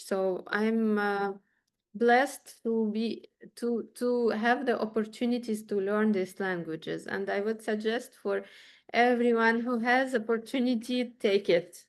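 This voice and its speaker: A woman with a Ukrainian accent answering interview questions casually, haltingly searching for words in English. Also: on the high side. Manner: halting, searching for words, chuckles as she talks. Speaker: interview guest, musician and choir teacher.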